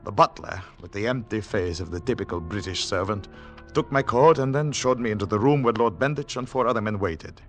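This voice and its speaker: An actor playing a haunted secret agent on the run, narrating in dramatic, deliberate, clipped phrases in 1940s radio thriller style. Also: male voice, on the low side, soft background music, lightly acted. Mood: urgent, controlled. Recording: noisy 1940s radio recording with clicks.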